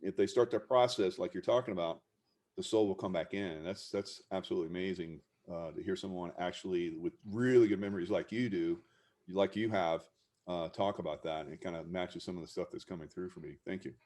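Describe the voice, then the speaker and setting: A very deep-voiced man hosts an interview, responding in a relaxed, affirming way. He muses aloud on his guest's points and often rephrases as he goes. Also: brisk. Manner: relaxed, affirming, thoughtful reflection. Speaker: host. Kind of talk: casual interview talk.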